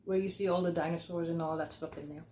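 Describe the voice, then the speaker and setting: A woman's voice: on the low side, fairly even intonation, fast talker, dark tone. Young woman telling a story, roomy echo. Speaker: storyteller, vlog host. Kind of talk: casual chatty storytelling. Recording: roomy, echoey sound.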